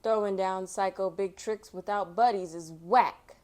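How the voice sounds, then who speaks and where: A woman's voice: mid-range voice, fairly even intonation, measured pace, darkish tone, close to an everyday voice. A woman reading the part of a discouraged young skateboarder boy in a storybook, emphatic and slangy. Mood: frustrated.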